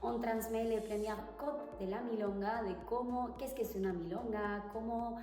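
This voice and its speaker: A woman's voice: on the high side, fairly even intonation, moderate pace, over music. Woman with an Argentine Spanish accent, non-native speaker, hesitant, searching for words, recorded far off in a reverberant hall. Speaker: tango teacher, interview guest. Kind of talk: casual interview answers. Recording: echoey hall, far from the mic.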